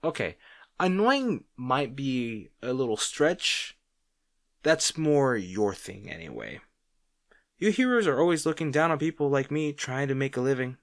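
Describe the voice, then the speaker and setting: A man's voice: mid-range voice, fairly bright tone, no room sound, clean studio sound. A man playing a wry, insecure, secretly fond supervillain rival in a roleplay audio drama, talking to the listener in a hesitant, conversational, sardonic way. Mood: teasing, irritated.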